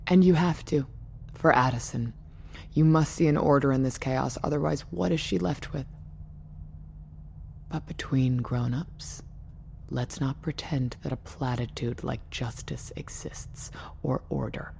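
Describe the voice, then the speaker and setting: Very deep, creaky guarded woman boss. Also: unhurried, a little mellow, slight background noise, exaggerated voice. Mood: cool, resigned. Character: controlling, weary female tech company boss, guarded and persuasive. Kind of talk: naturalistic audio drama acting.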